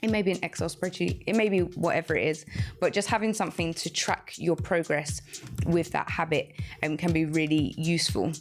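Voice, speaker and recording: A woman's voice: fairly low pitch, fairly even intonation, fairly quick, faint music behind. A woman hosting a radio show, giving conversational practical advice.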